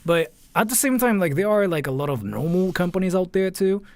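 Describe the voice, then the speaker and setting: A man from Japan chatting casually on a livestream in an animated, rambling, repetitive way, blunt and sweary. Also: high-pitched, brisk, no room sound. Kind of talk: casual livestream reaction chat.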